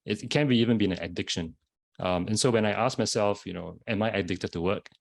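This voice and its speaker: A man's voice: lowish voice, fast, gravelly. A man with a Singaporean accent, a panelist sharing a reflective personal story with frequent fillers, speaking over a video call. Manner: thoughtful, many fillers and restarts. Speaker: panelist, social entrepreneur.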